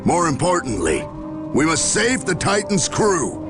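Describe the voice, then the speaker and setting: A man playing a weary, skeptical and devout general in a game cutscene, speaking in grave, measured military phrases. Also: on the high side, very animated, music in the background. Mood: resolute. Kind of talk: scripted game cutscene acting.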